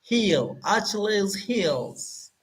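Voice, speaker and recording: A young Kenyan man with a Kenyan accent, talking casually to his viewers as a YouTuber, animated and often searching for words. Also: high-pitched, very slow. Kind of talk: casual vlog talk to camera.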